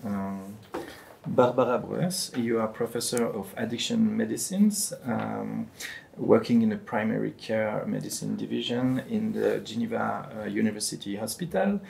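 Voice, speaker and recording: Swiss French male moderator, fairly low and gravelly, hesitant and careful, faint noise behind. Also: normal speed, a little mellow. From French-speaking western Switzerland. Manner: hesitant, many fillers, careful articulation. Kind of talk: panel discussion, introducing guests.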